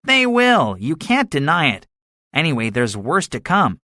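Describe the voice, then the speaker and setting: A man's voice: a little high, huge pitch swings, unhurried, sharp tone, dry recording, clean studio sound, pitched above the performer's own voice, heavily acted. A man voicing a cynical, boastful soldier survivor in a dramatized story, speaking emphatically with a swaggering manner. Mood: gloating, grim. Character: cynical, boastful male soldier, excitable survivor with grand schemes. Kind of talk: dramatized audiobook dialogue.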